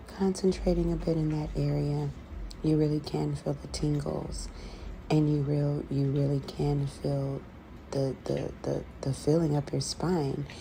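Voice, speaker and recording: A woman with a gravelly, creaky voice giving a halting, conversational explanatory voiceover as the host of an ASMR video. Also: low voice. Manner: unhurried, conversational, halting with pauses. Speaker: host, scratch therapy practitioner. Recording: clearly audible background noise.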